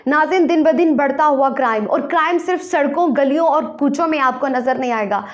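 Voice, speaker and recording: A woman's voice: high voice, a little fast. A woman with a Pakistani accent hosting a programme, delivering a formal, rhetorical scripted monologue to viewers. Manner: formal, rhetorical, literary phrasing. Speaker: programme host.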